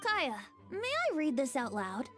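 Lady playing a light carefree boy bard, high and lilting, game music faint. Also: big pitch swings, unhurried, a bit bright, exaggerated voice. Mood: playful. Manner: playful, lilting, teasing. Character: cheerful young male bard, playful and carefree. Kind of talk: game voice acting.

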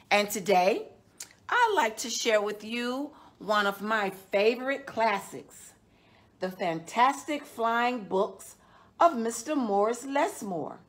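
A middle-aged woman, a children's storytime host, reading a picture book aloud in a measured, deliberate way with drawn-out words. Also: fairly high pitch, a bit bright. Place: indoors, small decorated room.

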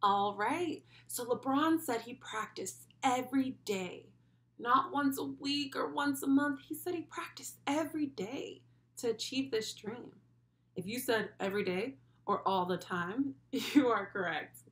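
A woman's voice: a little high, measured pace. Encouraging young woman teaching children. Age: young adult. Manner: encouraging, animated praise. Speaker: children's read-along host and school speech therapist. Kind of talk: children's lesson with comprehension questions, addressing the child directly. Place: small furnished room at home, indoors.

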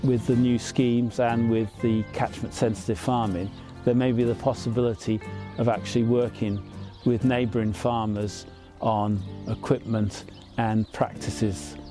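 50s farmer guy in a field, calm plain explaining, light music under it. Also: medium pitch. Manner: measured, plain explanatory talk with small fillers. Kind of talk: explaining farm practices on camera. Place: open farm field outdoors.

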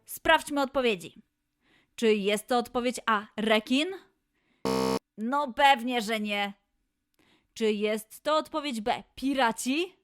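A woman's voice: on the high side, takes it slowly, pauses often. A woman presenting a scripted quiz for children as host, announcing and addressing the young listeners directly. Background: music.

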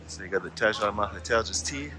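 A man's voice: lowish voice, fast talker, heavy background noise. A man, giving a frank, chatty vlog walkthrough of a ski resort, filming himself outdoors on a phone. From half French, half Australian. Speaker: ski travel agency founder, vlogger. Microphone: handheld phone at arm's length, selfie.

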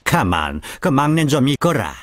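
An actor voicing an elderly widowed scholar father in a cartoon, who talks slowly and sighs as he muses. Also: medium pitch, very animated, a bit bright, slight background noise. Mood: fatherly. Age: older. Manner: slow, musing, sighing. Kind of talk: cartoon voice acting.

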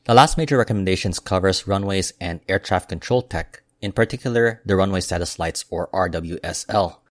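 A man hosting an aviation news channel, reading a scripted report in a steady, measured way as a voice-over. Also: sits low, no room sound, studio quality. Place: voice-over, not recorded in the pictured airport.

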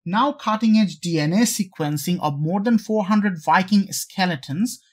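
Medium-pitched reader, formal scripted read-aloud, deliberate, careful phrasing. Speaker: sample reader for English test practice.

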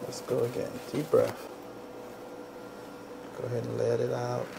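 A male chiropractor with a gravelly, mid-pitched voice talks a client through bodywork. His cues are short, repeated and reassuring. The small treatment room recording carries clear background noise. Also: completely flat delivery, measured pace. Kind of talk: hands-on instruction to a client, ASMR bodywork session.

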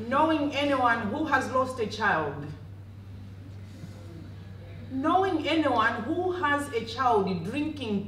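A woman from Malawi with a Malawian accent addresses an audience as a panel speaker, in emphatic, short, direct phrases. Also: a little high. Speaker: panel speaker, community health advocate. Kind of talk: personal public speech addressing an audience. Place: conference room panel table, indoors. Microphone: handheld microphone, distant camera. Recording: echoey room, distant, faint noise.

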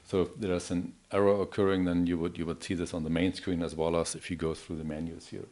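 Male demonstrator, deep voice, explaining a product off the cuff, hesitant and step by step. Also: little pitch movement, fast talker, a little mellow. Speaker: product demonstrator, account manager. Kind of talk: unscripted product demo. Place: indoor office or showroom.

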